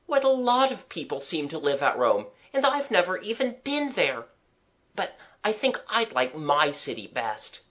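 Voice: medium pitch, very animated, unhurried, hardly any pauses, bright, forceful tone, pitched above the performer's own voice. A reader voicing an eager, imaginative young boy in a storybook, chattering earnestly and confidingly. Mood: disconsolate.

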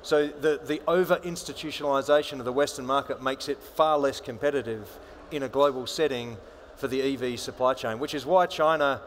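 A man makes his case on a conference panel. His voice sits at a middle pitch and he argues firmly, restarting a word now and then, over a faint hum from the hall. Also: a little fast, hardly any pauses. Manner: fluent, assertive, with small restarts. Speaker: panelist, banker. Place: large conference stage with audience.

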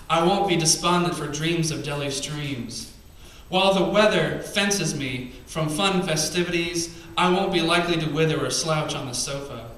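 Male spoken word poet, thirties, bright edge, punchy rhythmic reading into a stand mic, heard from the back of a boomy cafe. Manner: emphatic, rhythmic poetry delivery with quiet asides between poems. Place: small cafe stage. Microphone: stand mic, far camera. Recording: echoey venue, camera from far off.

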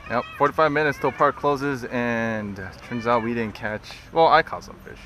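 A young man from Southern California hosting a fishing vlog. He gives a relaxed, casual recap, full of fillers and restarts, into an action camera held at arm's length outdoors. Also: medium pitch, normal speed, a little hiss or hum. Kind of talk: casual selfie-style vlog narration. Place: outdoors by a park lake, later on a street-side patio at night. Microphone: action camera held close at arm's length.

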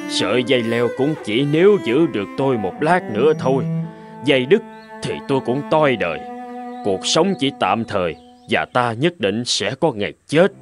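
Casting: male actor for earnest man of an old cartoon fable, declamatory, very lively intonation, music underneath. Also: mid-range voice, close to an everyday voice. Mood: reflective. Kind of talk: animated folk tale dub. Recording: music behind the voice.

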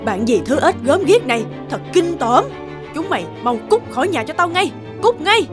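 Mean bossy stepmom in a cartoon, highish voice that jumps all over, scolding. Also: female voice, very animated, moderate pace, over music. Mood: furious. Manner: harsh, scolding. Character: cruel, scornful, bossy middle-aged stepmother. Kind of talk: dubbed cartoon fairy tale acting.

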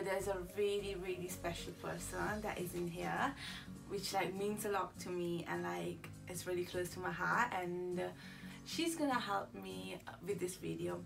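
Young woman, gravelly voice, chatty vlog. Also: fairly low pitch, far from the mic. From Italy. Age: young adult. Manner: chatty, rambling, many fillers and restarts. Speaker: YouTuber. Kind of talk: casual get-to-know-me chat. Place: small indoor room, sitting in a corner.

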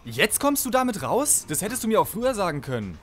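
A man's voice: sits high, huge pitch swings, fast talker, a bit bright, a little hiss or hum, exaggerated voice. A man playing an exasperated, scatterbrained hero who grumbles colloquially in a parody radio play. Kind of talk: parody audio drama acting.

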